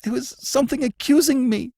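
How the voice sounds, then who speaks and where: A man's voice: fairly quick, dark tone, husky, dry recording, clean studio sound, exaggerated voice. A man voicing a terrified male prisoner who gasps and wails in panic. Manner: gasping, shrill, panicked wailing. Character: terrified male prisoner, a guilt-haunted murderer.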